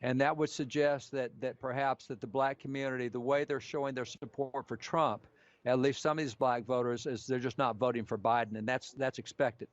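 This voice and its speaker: A man's voice: mid-range voice, fairly even intonation, a little fast, dry recording. A man working as an election analyst, giving live commentary on incoming figures in an analytical, carefully qualified way. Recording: dry, muffled lo-fi feed.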